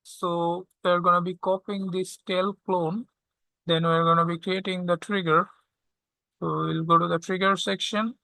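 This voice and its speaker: A tutorial instructor walking through software step by step, often checking in with a short questioning tag. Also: medium pitch, level tone, unhurried, vocal fry, no echo, poor audio. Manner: checks understanding with frequent "okay?".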